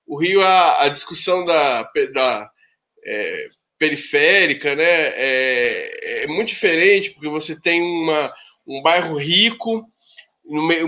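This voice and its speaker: Halting man on dull video-call audio. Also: fairly high pitch, bright, forceful tone. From Rio de Janeiro state, Brazil. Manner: halting, with many restarts and fillers. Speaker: researcher on a panel. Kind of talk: casual academic debate talk.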